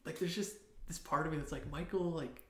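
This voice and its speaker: A man's voice: fairly high pitch, brisk, fairly bright tone. A young man with a slightly raised voice, chatting casually about a TV episode and agreeing readily. He laughs easily and talks in loose, filler-heavy phrases. The recording sounds echoey, as if in a bare room. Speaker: podcast co-host. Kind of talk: casual TV recap chat.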